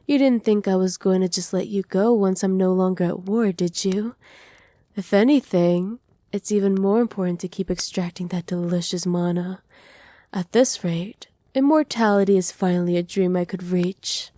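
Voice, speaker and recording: A woman voicing a doting, possessive evil witch in an intimate ASMR roleplay, speaking softly and teasingly, often slipping into breathy whispers. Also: medium pitch, normal speed, no room sound, studio quality, lightly acted. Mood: tender, indulgent. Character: doting, possessive evil witch pampering her captive pet. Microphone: close microphone, dry.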